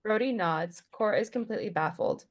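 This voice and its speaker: A woman's voice: medium pitch, a little fast. A woman giving scripted narration, reading stage directions at a table read.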